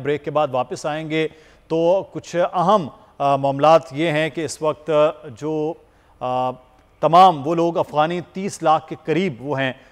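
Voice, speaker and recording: A man with a Pakistani accent hosting a TV news talk show in a formal, wordy way. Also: fairly high pitch. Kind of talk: news presenting, formal interviewing. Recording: long reverberant hall-like echo.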